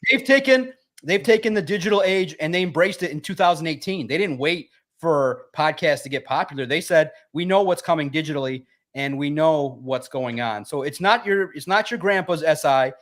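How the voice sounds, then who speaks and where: A man's voice: on the high side, moderate pace, hardly any pauses, a bit bright. A man who talks in a somewhat raised register. He co-hosts a sports podcast, driving each point home through repetition as he talks up his own work. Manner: emphatic, self-promoting, repeats himself for emphasis. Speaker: sports writer and podcast co-host. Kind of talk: animated podcast talk.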